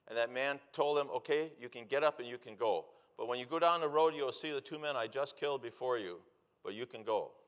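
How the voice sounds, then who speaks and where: A man's voice: mid-range voice, fairly bright tone. Man preaching in a very reverberant hall. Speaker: preacher, former missionary. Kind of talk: sermon with personal storytelling.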